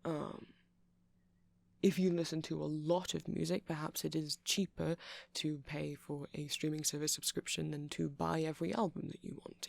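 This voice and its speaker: Man playing a nerdy rambling vampire, creaky voice. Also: on the high side, no room sound. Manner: hesitant, rambling, soft-spoken and earnest. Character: nerdy, chatty vampire boyfriend who rambles about music. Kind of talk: ASMR roleplay ramble.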